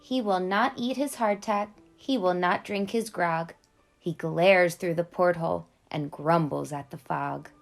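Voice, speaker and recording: A woman reading a picture book to children in an expressive, deliberate storyteller's delivery. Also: on the low side. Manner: clear, deliberate delivery addressed to young children. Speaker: children's read-aloud storyteller and host.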